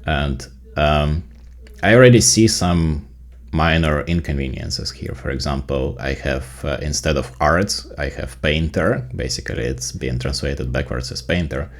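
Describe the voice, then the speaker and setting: Very deep-voiced Ukrainian man explaining tech. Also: measured pace. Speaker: tech video creator. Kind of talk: casual software tutorial narration.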